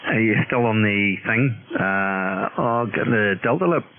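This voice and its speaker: A man's voice: on the low side, a little slow. A man chatting casually and colloquially over a radio link. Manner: colloquial, matey. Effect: radio transmission. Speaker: radio operator. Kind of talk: casual chat. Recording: dull, crackly CB radio signal.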